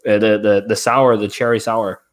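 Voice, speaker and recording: A man's voice: on the low side, flat delivery, normal speed. A young man with a Danish accent, hosting a casual live-stream chat, explaining at length with many fillers. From Denmark. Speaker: beer channel host.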